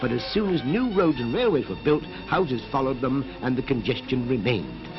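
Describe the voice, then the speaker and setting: A man's voice: mid-range voice, sing-song, normal speed. A British man narrating a 1950s educational cartoon in an instructive manner with clipped phrases. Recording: dull 1950s film soundtrack with music.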